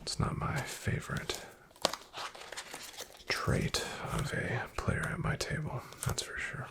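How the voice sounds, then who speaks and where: A man playing a shady, wryly menacing back-alley casino dealer in a soft-spoken, breathy ASMR roleplay, with an unhurried drawl. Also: natural voice. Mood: mildly irked. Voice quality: whispery, breathy, gravelly. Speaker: card game dealer in a roleplay.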